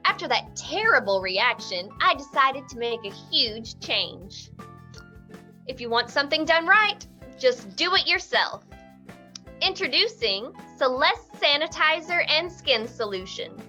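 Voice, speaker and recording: A woman's voice: high-pitched, lively intonation, a little slow, pushed and bright, heavily acted. A woman playing a polished, self-promoting saleswoman in a parody skincare commercial. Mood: upbeat, salesy. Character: polished, self-promoting infomercial saleswoman selling skin products. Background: music.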